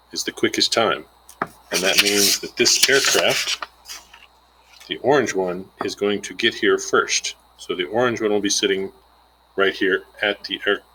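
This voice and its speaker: Deep-voiced man teaching step by step. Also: sharp tone, a little hiss or hum, low-quality recording. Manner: informal, plainspoken, thinking aloud while calculating. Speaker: instructor. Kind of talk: worked-example math lesson, explaining step by step.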